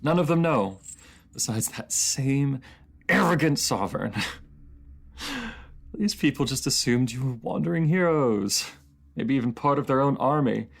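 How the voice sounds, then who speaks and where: A man playing a proud, bitter captive ruler and former mercenary in a fantasy audio drama, speaking formally and sometimes haltingly. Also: medium pitch, vocal fry. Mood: taunting, incredulous. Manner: halting, stammering when flustered, formal fantasy diction.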